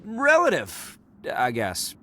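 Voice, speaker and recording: A man's voice: fairly high pitch, huge pitch swings, takes it slowly, pushed and bright, slight background noise, full character voice. A man voicing a brash, big-hearted, vain young oni in a video game, with a swaggering delivery. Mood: bashful pride.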